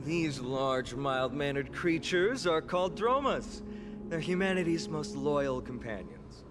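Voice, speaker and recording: An actor playing a mild, courteous young man in a fantasy video game, speaking softly and politely. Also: a little high, lively intonation, a little slow. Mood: gentle, explaining. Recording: game music behind the voice.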